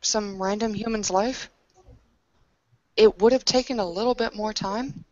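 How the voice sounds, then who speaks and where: A woman's voice: mid-range voice, steady tone, a little slow, dark tone, muffled recording, pitched above the performer's own voice, natural voice. A woman playing a suspicious, blunt and protective young woman in a tabletop roleplaying game. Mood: stern, upset.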